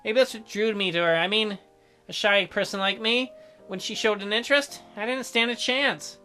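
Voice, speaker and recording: A man voicing a shy, gentle, awkward doctor in a visual novel, with a hesitant and mild manner. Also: high voice, normal speed, soft background music. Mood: wistful. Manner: hesitant, mild, softly apologetic.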